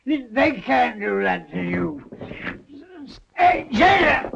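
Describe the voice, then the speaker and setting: Fussy stammering jailbird, really high voice, crackly noisy old movie. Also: male voice, big pitch swings, slow, vocal fry, fairly bright tone, exaggerated voice. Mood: excited, indignant. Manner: flustered, effusive, stammering. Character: excitable, fussy, stammering eccentric jail inmate, man. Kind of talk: 1930s film acting. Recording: muffled, noisy 1930s film soundtrack.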